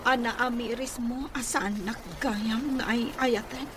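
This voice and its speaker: A woman acts out a betrayed young wife in a radio drama, pleading and accusing. Her voice is very high and her delivery fairly slow. Clear noise runs behind her. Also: steady tone, natural voice. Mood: hurt, demanding.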